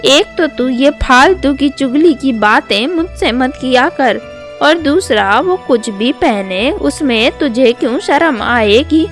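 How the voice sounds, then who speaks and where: A woman's voice: sits high, fairly bright tone, exaggerated voice. A woman voicing a middle-aged mother, exasperated, sensible and scolding, in a storybook tale. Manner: scolding, no-nonsense.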